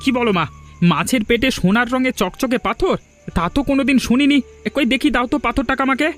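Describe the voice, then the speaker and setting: Cartoon casting: male actor as naive young villager, very high voice, quick and eager. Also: lively intonation, soft background music. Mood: earnest, hopeful. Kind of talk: Bengali cartoon voice acting.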